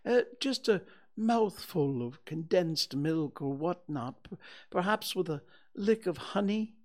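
A storybook reader voicing a humble, slow-witted, dreamy toy bear with a hesitant, wistful manner. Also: mid-range voice, animated, vocal fry, pitched above the performer's own voice, full character voice.

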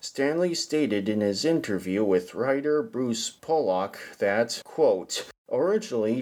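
Male host, scripted narration, slow and deliberate delivery, medium pitch. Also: a little mellow. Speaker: podcast host.

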